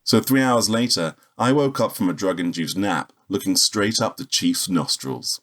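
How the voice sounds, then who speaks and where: A deep-voiced male secret agent of the spaceways, cocky and world-weary. He speaks with deadpan, sardonic wit, like the lone hero of a noir-tinged sci-fi radio drama. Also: normal speed. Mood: wry narration. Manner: wry, deadpan. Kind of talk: noir-style narration and dialogue in sci-fi audio drama.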